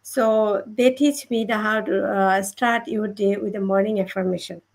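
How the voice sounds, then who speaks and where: A woman from Bangladesh, with that accent and a medium-pitched voice, speaks as a guest on a motivational panel. Her non-native English is halting and unscripted, with phrases often repeated as she builds her personal testimony. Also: fairly even intonation, vocal fry. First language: not English.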